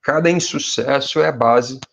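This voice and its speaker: A man in his forties with a Brazilian Portuguese accent, a university professor giving conversational advice to graduate students. He speaks straight to his viewers and keeps tagging his sentences with quick checks for agreement. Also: mid-range voice, vocal fry, fairly bright tone. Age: 40s. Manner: speaks directly to viewers as "pessoal".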